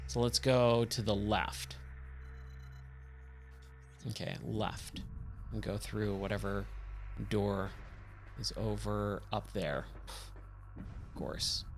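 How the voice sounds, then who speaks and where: A man streaming a video game talks at an unhurried pace in a slightly scratchy, hoarse voice. He rambles casually, asking himself questions and thinking aloud over game music. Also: on the low side, steady tone. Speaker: game streamer, host of a let's play.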